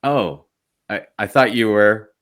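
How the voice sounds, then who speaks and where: Host: man with a lowish voice, halting and tentative as he asks questions. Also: fairly bright tone. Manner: hesitant, many fillers and restarts. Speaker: podcast host, interviewer. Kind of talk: casual interview conversation.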